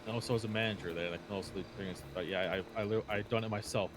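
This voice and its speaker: A man's voice: fairly low pitch, fairly even intonation, racing, natural voice. A man playing a former manager ready to testify, blunt and stammering, and he swears. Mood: determined. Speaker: game character. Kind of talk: live roleplay dialogue. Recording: noisy game audio.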